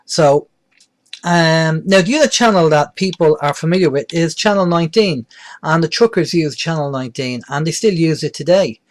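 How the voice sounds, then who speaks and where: Middle-aged male presenter, slightly high-pitched voice, plain-spoken delivery for an informal hands-on how-to. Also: normal speed, slight background noise. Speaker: presenter of a beginners' radio tutorial. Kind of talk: informal step-by-step tutorial.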